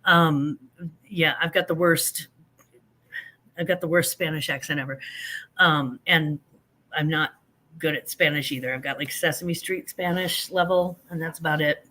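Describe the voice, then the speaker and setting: A woman's voice: low-pitched, fairly even intonation, a little slow, pauses often, sharp tone. An older woman, a grandmother, hosting a casual livestream, chatting in a rambling, self-deprecating way while answering viewers, a little stuffy from allergies. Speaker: livestream host.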